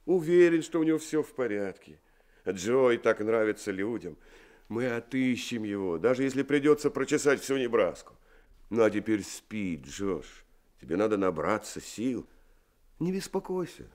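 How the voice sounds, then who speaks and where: A man playing a kind, calm friend who nurses and reassures a sick young man in a radio play. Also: lowish voice, normal speed. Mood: soothing. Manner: soothing, warmly reassuring. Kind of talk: Soviet radio drama acting.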